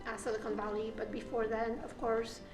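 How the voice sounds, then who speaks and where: Polish-accented female artist, halting storyteller, roomy sound. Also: fairly high pitch, completely flat delivery. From Poland. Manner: halting, many fillers and restarts. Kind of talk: personal story interview. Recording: echoey room with faint music.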